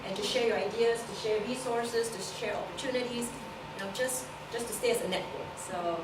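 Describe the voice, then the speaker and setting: A woman's voice: fairly high pitch, fairly quick. A woman speaking informally to a gathering in a conversational, rambling way. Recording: roomy, noisy hall, distant mic.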